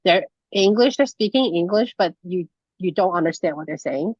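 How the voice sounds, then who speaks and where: A woman's voice: fairly low pitch, animated, moderate pace, darkish tone, breathy edge, no echo. Chatty Taiwanese-born woman teacher on a call. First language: Mandarin Chinese. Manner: chatty, many fillers and restarts. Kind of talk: casual conversational lesson. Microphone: video call. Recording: dull lo-fi video call audio.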